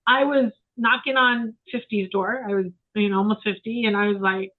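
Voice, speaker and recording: A woman's voice: medium pitch, fairly even intonation, normal speed. A woman around sixty, a photographer guest, talking in a rambling, reflective way with many fillers over a remote call. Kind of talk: casual interview chat. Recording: tinny phone-like line.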